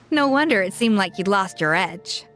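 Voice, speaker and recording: Blunt, self-assured master chef. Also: female voice, medium pitch, lively intonation, measured pace, fairly bright tone, soft background music. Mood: amused. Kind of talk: anime video game voice acting.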